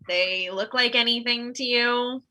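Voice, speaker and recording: A woman's voice: on the high side, unhurried, pushed and bright. An actress playing a chatty, scheming female co-op worker in a sitcom table read. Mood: sly, coaxing.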